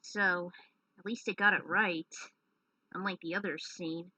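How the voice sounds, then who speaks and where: A woman's voice: fairly low pitch, steady tone, unhurried, bright, forceful tone, no room sound, poor audio. A woman narrating a story, slipping into informal, chatty asides in a casual way. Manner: informal, chatty commentary between passages. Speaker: fan fiction narrator.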